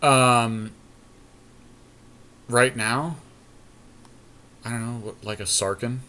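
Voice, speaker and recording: A man's voice: mid-range voice, fairly even intonation, takes it slowly, pushed and bright. A man co-hosting a podcast, chatting casually and jokingly through a game with wry, self-deprecating humor. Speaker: podcast co-host. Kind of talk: casual banter over a live card game draft.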